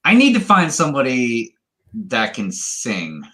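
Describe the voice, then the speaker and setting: A man's voice: medium pitch, wildly swooping pitch, unhurried, sharp tone. A man hosting a livestream, chatting in a friendly, enthusiastic way. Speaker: livestream host. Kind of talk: casual livestream chat.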